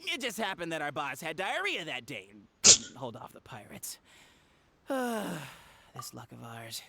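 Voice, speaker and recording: A man's voice: on the high side, wildly swooping pitch, unhurried, creaky, very noisy, heavily acted. An actor voicing a nervous, boastful petty thief in a video game, stammering and pleading as he babbles excuses. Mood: boastful, excitable.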